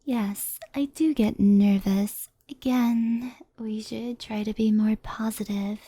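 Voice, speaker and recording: A woman playing a gentle, mischievous fox spirit in an ASMR roleplay, speaking softly and intimately, often in a whisper, like a reassuring mentor. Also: fairly high pitch, fairly even intonation, takes it slowly, husky, no room sound. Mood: softly anxious.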